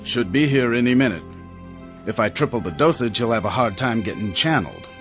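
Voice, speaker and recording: A man's voice: mid-range voice, expressive, brisk, natural voice. An actor playing a casual, mocking henchman with an offhand, colloquial way of talking, in a radio drama. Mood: offhand, grumbling. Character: casual, mocking male henchman guarding a captive. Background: music.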